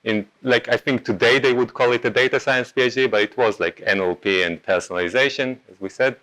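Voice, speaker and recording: A man's voice: medium pitch, brisk, a bit bright, rough recording. A man in his thirties with an Israeli accent, giving a casual talk at a meetup, with many ums and self-corrections. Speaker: meetup speaker. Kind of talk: conference talk.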